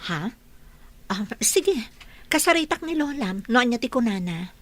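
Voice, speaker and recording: A woman's voice: fairly high pitch, big pitch swings, normal speed, a bit bright. A woman acting a patient, reluctant village mother in a radio drama. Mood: patient, resigned.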